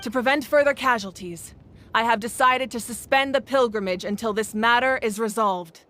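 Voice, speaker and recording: A woman acting a composed, commanding ruler in a video game, speaking with formal, measured authority. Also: fairly high pitch, sharp tone, soft background music. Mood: stern, resolute. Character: commanding, composed female ruler of a warrior nation.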